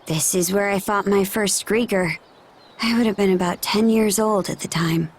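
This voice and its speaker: A woman's voice: medium pitch, normal speed, breathy edge, natural voice. An actress playing a plain-spoken young woman fighter in a video game, talking in a casual, wry, reflective way. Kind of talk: video game cutscene voice acting.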